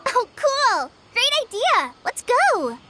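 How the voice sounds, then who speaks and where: A woman voicing a cheerful, innocent, eager little girl knight with a childlike, squeaky voice in a video game. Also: wildly swooping pitch, slow, background noise, heavily acted. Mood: excited. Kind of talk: video game cutscene acting. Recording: music behind the voice.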